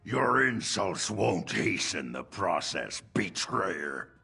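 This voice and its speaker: A man voicing a cold, imperious necromancer in a video game, raspy and formal, with a menacing manner. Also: low voice, big pitch swings, slow, pushed and bright, faint music behind, exaggerated voice. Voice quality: gravelly, raspy. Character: sinister necromancer, queen's chief servant, cold and imperious. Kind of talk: video game character acting.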